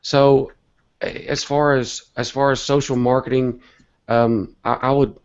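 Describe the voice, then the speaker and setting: A man with a cold and a hoarse voice, talking earnestly and a bit rambling as an interview guest. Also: mid-range voice, little pitch movement, a little slow, a little mellow. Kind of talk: casual online interview talk. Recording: dull lo-fi web call audio.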